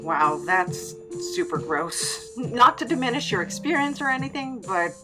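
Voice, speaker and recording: A woman playing an awkward, forgetful wanderer in an audio drama, hesitant, evasive and deadpan. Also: fairly high pitch, normal speed, over music. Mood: sheepish, evasive. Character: awkward, forgetful goblin wanderer named Knapp, evasive and sheepish.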